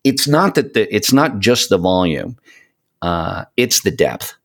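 A man's voice: lowish voice, big pitch swings, a little slow. A man chatting as a podcast guest, explaining things in an enthusiastic, rambling way. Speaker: podcast guest, voice of an audio show. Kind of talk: casual conversational interview.

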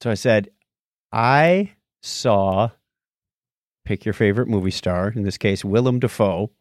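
A man's voice: lowish voice, measured pace, no echo, studio quality. A man hosting a podcast in a casual, playful, joking style. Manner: jokey, playful. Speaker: podcast host. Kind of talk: casual chat, listener shout-outs.

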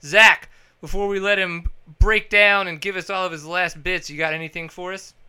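A man hosting a casual podcast, joking loudly and reading sponsor plugs with lots of energy. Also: high-pitched, steady tone, moderate pace, clean tone. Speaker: podcast host. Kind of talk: casual podcast chat with ad reads.

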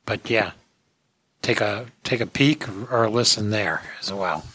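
A man hosting a podcast, talking casually in a halting, unscripted way. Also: fairly low pitch, pushed and bright, no echo. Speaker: podcast host.

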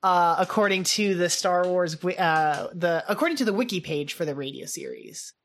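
A woman co-hosting a podcast, chatting casually in an excitable, informal way. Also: lowish voice, normal speed. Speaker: podcast co-host. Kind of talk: casual podcast chat about a radio drama.

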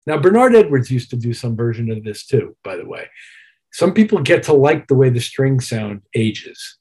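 A mature man with a husky edge and a medium-pitched voice. He talks as an interview guest who is a working musician, unspooling detailed anecdotes. His delivery is casual and reflective, and he voices both sides of remembered conversations. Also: very animated, normal speed, darkish tone. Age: middle-aged or older.

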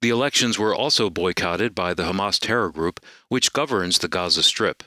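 A man announces and reads news for a radio ministry. His voice sits a little low but sounds bright. His delivery is smooth and measured, recorded dry and close. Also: dry recording. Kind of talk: scripted announcing and news reading.

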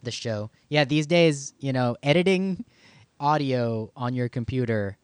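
A man's voice: medium pitch, lively intonation, measured pace, smooth, dry recording. A man hosting a podcast in casual conversation, thinking aloud and often doubling back on his words. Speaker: podcast host and audio producer.

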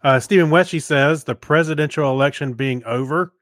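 A man's voice: fairly high pitch, a little fast, a bit bright, dry recording, clean studio sound. A man chatting casually as a podcast co-host in an easygoing, agreeable way. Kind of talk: casual chat.

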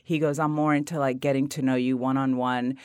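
A woman in her forties, a guest telling stories with lively, acted-out quotes. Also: fairly quick, no echo. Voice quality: low raspy voice in the mornings. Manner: animated storyteller, acts out quotes with big pitch swings. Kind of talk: casual interview chat.

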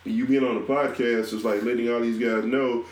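A man's voice: medium pitch, little pitch movement, moderate pace, a little mellow. A man co-hosting a podcast, talking to the guest in an earnest, motivational way. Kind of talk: casual chat. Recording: roomy echo.

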